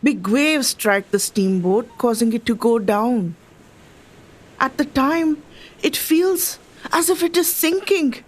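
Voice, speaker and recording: A woman's voice: sits high, poor audio. A woman playing an awed, anxious Indian traveller of 1906, telling her account dramatically and formally in a radio play.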